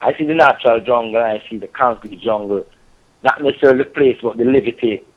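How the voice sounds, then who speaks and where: A man with a Jamaican accent, a reggae singer being interviewed, talking in a relaxed way with lots of "you know" and "yeah, man". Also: mid-range voice, quick pace, phone-quality sound. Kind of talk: casual interview over a call.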